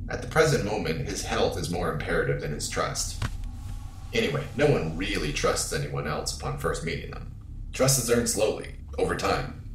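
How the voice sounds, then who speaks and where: A blunt starship crew member , acted out in a sci-fi audio drama, with a very low voice and stoic, formal delivery. The sound is echoey, with some ambience behind it. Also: expressive, a little hiss or hum. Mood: measured, clinical. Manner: measured, matter-of-fact, formal. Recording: roomy echo, background ambience.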